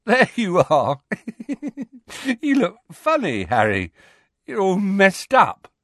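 A man's voice: high-pitched, huge pitch swings, takes it slowly, a bit bright, dry recording, studio quality, voice pushed up, full character voice. A man voicing a dazed, injured teenage boy who is giggly and silly, in a fantasy audiobook. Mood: woozy giggling. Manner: giggly, slurred silliness.

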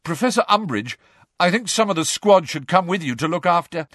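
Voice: medium pitch, lively intonation, unhurried, dry recording, studio quality, pitched above the performer's own voice. A performer voicing an eager teenage schoolboy toady with a sulky streak in an audiobook.

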